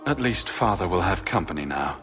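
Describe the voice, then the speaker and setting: An actor playing a wary young adventurer in a fantasy video game, dry and guarded. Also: male voice, low-pitched, animated, brisk, fairly bright tone, faint music behind. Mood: grim, resigned. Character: wry, wary young adventurer protecting his family.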